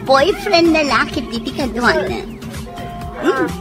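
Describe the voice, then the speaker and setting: A woman's voice: high voice, big pitch swings, slow, over music, exaggerated voice. A woman acting a coquettish, gossipy sweetheart in an Ilocano radio drama. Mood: gossipy, gleeful.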